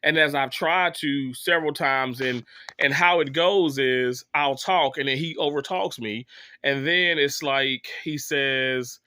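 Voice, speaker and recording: A man's voice: medium pitch, measured pace, sharp tone, dry recording. A man in his thirties, sick with a cough, telling a rambling, exasperated story to his viewers with many fillers and restarts. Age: 30s. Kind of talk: casual story time monologue.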